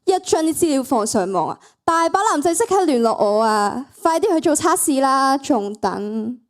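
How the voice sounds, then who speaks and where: A woman's voice: high-pitched, big pitch swings, moderate pace. A teenage girl with a Hong Kong Cantonese accent playing a bossy, trend-savvy, teasing female friend in a student radio drama. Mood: teasing, persuasive.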